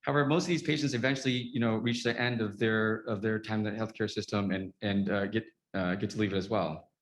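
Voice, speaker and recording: A man speaking as a trauma surgeon at a summit, in an earnest, halting way with many fillers and restarts. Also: on the low side, steady tone, roomy sound. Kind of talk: spoken talk at a summit.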